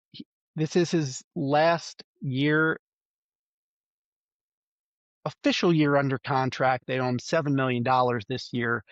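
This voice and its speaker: A man's voice: mid-range voice, no echo, lo-fi sound. A man with a cold and a stuffy nose, hosting a solo sports preview podcast and reeling off stats with frequent ums and uhs. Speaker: podcast host.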